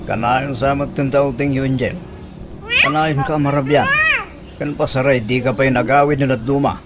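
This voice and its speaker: A man's voice: medium pitch, fairly even intonation, moderate pace, heavy background noise, natural voice. A man playing an earnest, suspicious young husband in a radio drama, questioning and aggrieved. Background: loud music.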